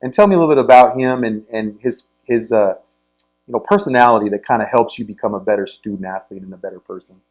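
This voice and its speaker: Halting American man hosting a sports interview. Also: fairly low pitch, a little mellow, rough recording. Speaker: sports interview show host.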